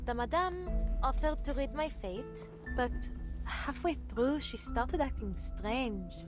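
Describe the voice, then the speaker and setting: A woman's voice: sits high, expressive, slow pace. An actress playing a nervous, defensive young woman in a game, hesitant and a little wry. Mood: shaken, hesitant. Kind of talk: dramatic game voice acting. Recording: music behind the voice. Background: music.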